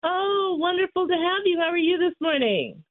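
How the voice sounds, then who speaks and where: A woman's voice: very high voice, animated, moderate pace. Woman host, slightly scratchy voice, effusive interviewer, tinny narrow sound. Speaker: radio show host. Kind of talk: casual interview.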